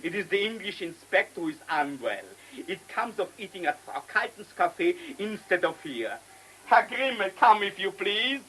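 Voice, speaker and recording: An actor in a radio drama playing a cunning, self-assured German man who speaks with deliberate, mocking polish in a German accent. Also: sits high, big pitch swings, bright, forceful tone, exaggerated voice, low-quality recording. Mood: mocking, gleeful. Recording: hissy old radio play recording.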